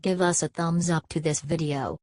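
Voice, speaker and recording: Low-voiced woman announcing a channel intro. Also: steady tone, normal speed, a little mellow, dry recording, clean studio sound. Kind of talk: scripted channel intro appeal.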